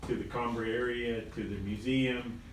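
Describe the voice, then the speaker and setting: A man presenting an award with halting, stop-start praise, recorded on a phone from the audience in a parlor. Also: medium pitch, steady tone, slight background noise. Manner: halting, repeats words, uses fillers. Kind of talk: presentation remarks. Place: parlor of an old house, indoors. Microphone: phone camera in the audience. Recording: very echoey room, distant phone recording.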